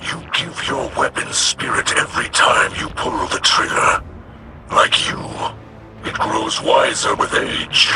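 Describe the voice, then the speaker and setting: A man's voice: fairly low pitch, animated, slow pace, vocal fry, full character voice. A man playing a solemn, stoic alien warrior leader in a video game, speaking in formal, reverent, weighty phrases. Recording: music behind the voice.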